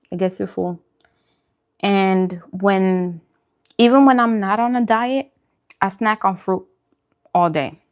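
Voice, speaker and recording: A woman chatting casually as a vlog host, informal and conversational, often repeating phrases for emphasis. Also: mid-range voice. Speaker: host of a food and vlog channel. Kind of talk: casual eating-show chat answering viewer questions.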